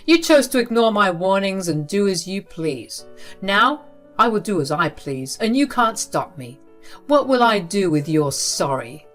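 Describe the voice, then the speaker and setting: A woman's voice: mid-range voice, very animated, unhurried, faint music behind. Brief: a stern elderly father laying down the law, read plainly by a woman. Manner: firm, scolding.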